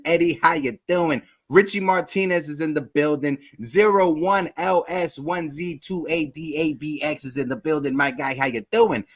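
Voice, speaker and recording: A man in his thirties hosting a casual sports talk livestream, giving upbeat shout-outs to viewers in the chat. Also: a little high. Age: 30s. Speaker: podcast host.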